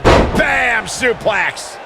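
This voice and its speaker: A man playing an excitable play-by-play announcer in a wrestling video game, over a noisy arena. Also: extremely high pitch, drawn-out words, creaky, shouting, full character voice. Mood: excited, amazed. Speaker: wrestling commentators. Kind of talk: excited sports commentary. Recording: noisy arena crowd behind.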